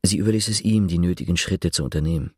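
Radio drama narrator; deep, sober, reflective and coolly ironic literary storytelling. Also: male voice, fast talker, a little mellow, husky, no echo, studio quality. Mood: detached, sober. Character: sober, ironic, detached male narrator of a novel.

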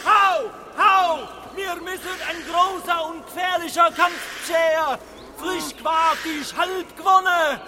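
Actors playing a band of foolish, blustering Swabian men in a children's audio play, shouting and chanting in mock-heroic style. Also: very high-pitched, fairly even intonation, unhurried. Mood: alarmed, battle-eager. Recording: distant shouting crowd, noisy backdrop.

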